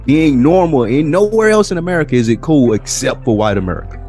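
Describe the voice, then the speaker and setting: A man co-hosting a podcast, chatting loosely in slang and working through his points with pauses and restarts. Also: fairly high pitch, expressive, darkish tone, faint music behind. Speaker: podcast co-host. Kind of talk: casual chat.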